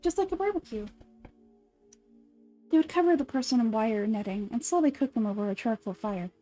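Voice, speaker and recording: Woman dubbing an anime nurse lady, soft and slow and creepy-calm, kinda high but mellow, faint music behind. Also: close to an everyday voice. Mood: macabre, relishing. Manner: soft, measured and lecturing, dwelling on gruesome detail. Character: calm adult female nurse relishing grisly history. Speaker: fan dub voice actor. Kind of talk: anime fan dub acting.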